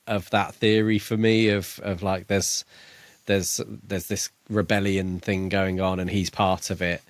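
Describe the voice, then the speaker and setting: Lowish male podcast host, casual book chat, kinda halting thinking out loud, very dry recording. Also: level tone, no room sound. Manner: tentative, halting, thinking aloud.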